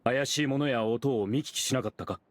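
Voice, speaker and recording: A man playing a serious, blunt investigator who questions suspects in a Japanese game, with a husky voice. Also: medium pitch, a little fast, no room sound, lightly acted. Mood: serious, probing. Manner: blunt, inquiring.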